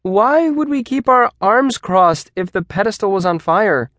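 A man voicing a skeptical, literal-minded high school student who questions everything, in an audiobook. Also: animated, measured pace, fairly bright tone, no echo, clean studio sound. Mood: puzzled, challenging. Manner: querulous, questioning. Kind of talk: audiobook character voice.

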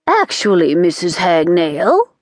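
Woman voicing a haughty witch leader, stiff, formal, slow. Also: fairly low pitch, wildly swooping pitch, no room sound, clean studio sound, heavily acted. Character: haughty, proper female chief witch.

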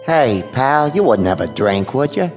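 A shiftless hobo in a radio play. He talks slowly and boozily, his pitch sliding up and down over faint music. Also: sing-song, a little mellow. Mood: wheedling. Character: shiftless, boozy male hobo cadging a drink. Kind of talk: radio drama acting.

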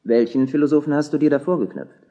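An actor playing a sociable, carefree young student in a historical audio drama, breezy and teasing. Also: male voice, a little high, fairly even intonation, quick pace, dull audio. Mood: teasing, incredulous.

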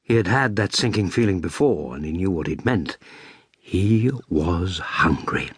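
A man narrating a children's story in a husky, breathy voice, with expressive and measured delivery. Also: low voice, no room sound, clean studio sound.

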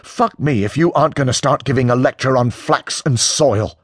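A man's voice: mid-range voice, normal speed, dry recording, clean studio sound, voice pushed up, close to an everyday voice. A man voicing an angry, defensive male character in an audiobook, mocking and aggrieved. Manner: menacing, mocking, indignant.

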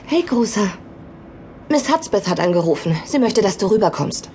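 A patient, affectionate mother in an audio drama, with lively, expressive pitch. She coaxes gently over faint background noise. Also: normal speed. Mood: gentle, earnest. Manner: gentle, coaxing.

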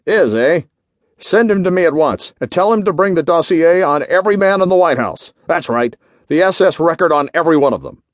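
A man voicing a gruff, short-tempered general and intelligence chief who barks clipped orders in a pulp adventure story. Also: animated, a little fast, dry recording, lightly acted. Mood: brisk, commanding. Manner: barking, booming, clipped orders.

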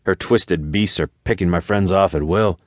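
Man playing an earnest, low-voiced fantasy hero. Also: normal speed, no room sound, studio quality, close to an everyday voice. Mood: determined. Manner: earnest, informal, hesitant at times. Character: plain-spoken, determined part-human male fantasy hero. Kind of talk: audiobook character voice.

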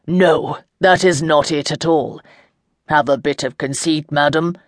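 A reader playing a commanding dark lord who speaks calmly and with quiet mockery. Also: unhurried, no echo, studio quality, natural voice. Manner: calm, low and assured.

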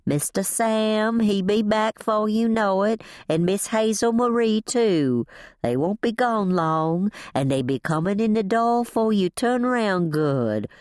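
Woman playing motherly housekeeper, Southern drawl, folksy, bossy yet coaxing, lively pitch swings, dry audiobook sound. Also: measured pace, no room sound, lightly acted. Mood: soothing, coaxing.

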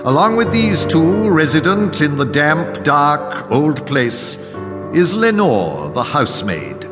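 An actor playing an ominous, theatrical narrator of a gothic radio drama. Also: male voice, fairly high pitch, big pitch swings, slow. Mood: solemn, ominous. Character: theatrical storyteller of a gloomy manor tale. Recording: long reverb, music underneath. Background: music.

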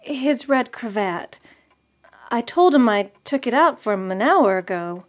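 A woman playing a devoted, wistful officer's wife in a radio drama, gentle and composed. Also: on the high side, animated, measured pace. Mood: fond, resigned.

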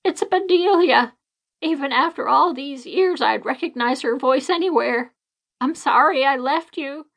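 A woman's voice: high-pitched, unhurried, gravelly, a bit bright, voice pushed up, heavily acted. A woman voicing an older woman who wails and pleads with the dead. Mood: grieving. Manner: wailing, pleading.

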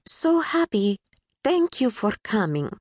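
A woman's voice: a little high, big pitch swings, takes it slowly, breathy edge, no echo, clean studio sound, pitched above the performer's own voice, exaggerated voice. A woman voicing a timid, petite young woman with a Pakistani accent tinged with British, speaking in a hesitant, sing-song, formal way. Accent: Pakistani with a British twang.

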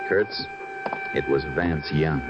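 A man in 1940s radio acting, playing a steady Texas Ranger who narrates his case in a measured way, on an old, muffled radio recording. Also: very deep voice, very noisy.

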